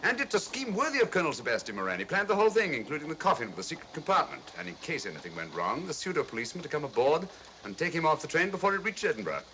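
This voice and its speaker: Over a noisy vintage soundtrack comes a brilliant, self-assured detective. He explains things urbanely and wryly, in quick speech with a lively, swooping pitch. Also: heavily acted. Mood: triumphant. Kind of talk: 1940s film mystery acting. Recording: noisy old film soundtrack.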